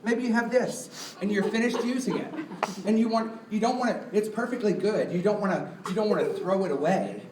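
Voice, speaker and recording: A man's voice: sits high, brisk, background noise. A man, a puppeteer, playfully introducing a live show to children and putting questions to his audience. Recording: echoey room, distant mic, music behind.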